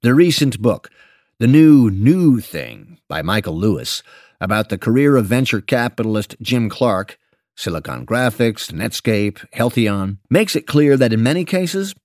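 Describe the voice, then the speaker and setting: Animated male narrator, dry studio sound. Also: mid-range voice, measured pace, dry recording. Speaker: narrator reading an investment memo. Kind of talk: scripted narration, audiobook-like reading.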